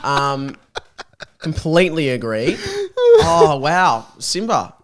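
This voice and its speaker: A man's voice: medium pitch, big pitch swings, slow, noisy background. An Australian man guesting on a comedy podcast, reading fan fiction aloud and bantering, giggly, sarcastic and sweary.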